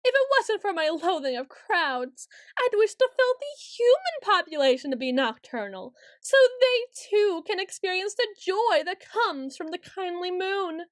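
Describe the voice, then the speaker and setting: A woman's voice: very high voice, big pitch swings, unhurried, dry recording, studio quality, full character voice. A woman playing a haughty, petulant ancient female vampire in a scripted audio roleplay, speaking in a theatrical, imperious and archly formal way. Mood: haughty, scornful. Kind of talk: scripted ASMR roleplay monologue.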